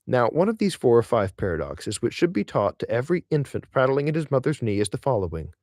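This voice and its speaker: A man reading a literary essay aloud in audiobook style, in a measured, expressive way with deliberate emphasis. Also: medium pitch, dry recording, studio quality.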